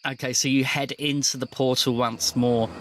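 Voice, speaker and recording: A man acting as game master, narrating a tabletop roleplaying story in a measured, descriptive way. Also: mid-range voice, level tone, heavy background noise.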